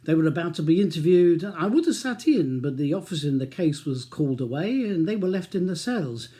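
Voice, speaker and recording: A man voicing a pitched-up, matey greying solicitor. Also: big pitch swings, a little fast, dark tone. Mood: brisk, confiding. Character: greying East End solicitor in his sixties, matey and wheedling. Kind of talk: audiobook character dialogue.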